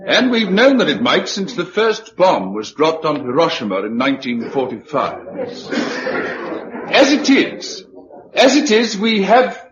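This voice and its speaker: An actor playing a brisk, rousing committee chairman in a radio drama, with a British accent. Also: high-pitched, very animated, a crowd in the background, full character voice. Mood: solemn, rousing. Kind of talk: radio drama acting, formal public address. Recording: dull lo-fi radio recording, faint noise.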